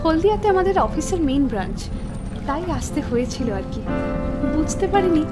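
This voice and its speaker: A woman playing a polite, mysterious young office worker in dialogue for an animated horror story. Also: high voice, moderate pace. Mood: polite, reserved. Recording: music bed under voice.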